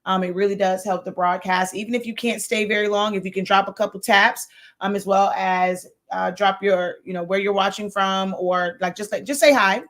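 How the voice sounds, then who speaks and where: Hoarse woman hosting a livestream. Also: mid-range voice, fairly even intonation, normal speed. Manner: warm greetings, frequent laughs and fillers. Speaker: host. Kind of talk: casual livestream chat.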